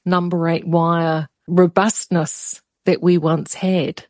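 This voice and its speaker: Low, dry-voiced New Zealand woman host. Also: flat delivery, slow, darkish tone, dry recording. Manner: conversational, reflective, steers the chat with asides. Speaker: radio podcast host. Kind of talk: casual studio conversation.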